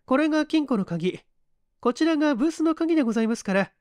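A man's voice: very high voice, lively intonation, fast, a little mellow, no echo, voice pushed up. A man voicing a prim, formal, ladylike young female secretary in an audiobook mystery story. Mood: prim, businesslike. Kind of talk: acted audiobook dialogue.

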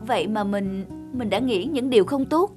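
A woman voicing a young female traveller in a cartoon, expressive and quick to judge. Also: fairly high pitch, soft background music, close to an everyday voice. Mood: remorseful. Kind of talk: cartoon dubbing.

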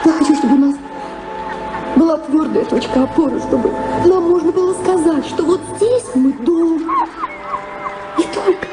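A woman's voice: very high-pitched, moderate pace, long pauses. An actress playing an unhappy young woman in love in a radio play, speaking in an earnest, imploring, theatrical way. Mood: pleading. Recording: roomy radio drama, loud music behind.

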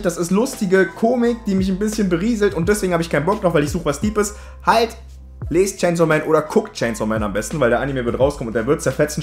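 A man talking casually and enthusiastically to viewers as a YouTube reviewer, in colloquial, slangy language peppered with English loanwords. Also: fairly high pitch. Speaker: YouTube anime and manga reviewer. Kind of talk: casual direct-to-viewer video commentary. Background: background music.

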